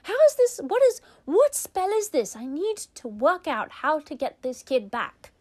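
A woman playing a brisk practical storybook mum. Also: high-pitched, sing-song, pitched above the performer's own voice. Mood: puzzled. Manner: brisk and matter-of-fact. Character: calm practical mother in a story.

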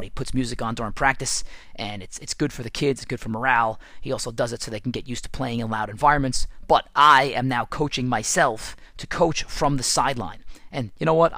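A man hosting a casual podcast, hoarse and raspy from losing his voice, chatty and self-deprecating. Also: medium pitch, a bit bright, no room sound. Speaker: podcast host and football coach. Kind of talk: casual solo podcast with ad read.

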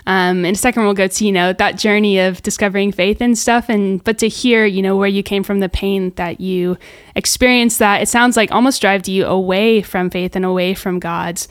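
A woman's voice: mid-range voice, steady tone, normal speed, dry recording, clean studio sound. A woman hosting a podcast interview, enthusiastic and affirming, often saying wow and yeah. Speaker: podcast host. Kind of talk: casual interview chat.

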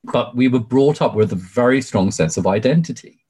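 A man's voice: medium pitch, animated, normal speed, darkish tone, slightly rough. A British man in his forties telling his story as an interview guest, emphatic and effusive, peppering it with "you know". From UK, born in Sri Lanka. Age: 40s. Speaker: podcast guest, barrister.

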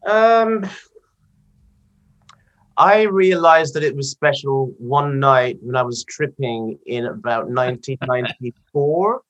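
A man's voice: medium pitch, takes it slowly, a bit bright, highs cut off. A man from England who grew up partly in Guyana, answering interview questions with wry, anecdotal stories. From born in England, raised partly in Guyana. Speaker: interview guest, singer. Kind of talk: casual conversational interview.

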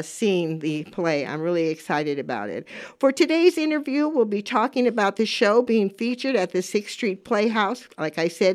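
A woman in her seventies hosting a talk radio show, speaking casually and emphatically, repeating phrases for stress as she gives her opinions. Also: medium pitch. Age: 70s. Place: radio studio.

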